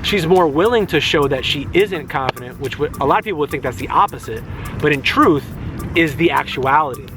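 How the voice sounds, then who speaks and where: Musing young man, slightly high voice. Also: expressive, a little fast, music in the background. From New Jersey. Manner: musing, philosophical, rambling. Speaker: co-host of a couples Q&A channel. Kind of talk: casual chat.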